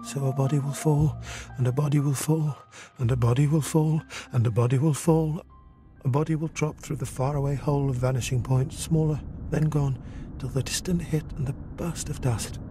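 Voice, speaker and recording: A man reading a dramatic poem in clipped, intense fragments, his delivery often hushed. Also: mid-range voice, fairly even intonation, moderate pace. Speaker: reader. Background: music.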